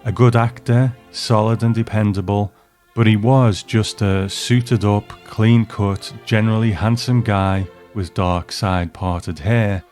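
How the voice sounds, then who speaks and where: A man's voice: lowish voice, steady tone, slow, darkish tone, soft background music. A man hosting a review, narrating from a script. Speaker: host.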